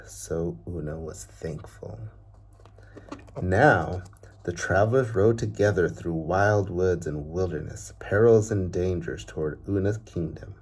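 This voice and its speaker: A man reads a storybook aloud to children, with measured, deliberate phrasing that often trails off softly at the ends of lines. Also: deep voice, creaky, slightly rough.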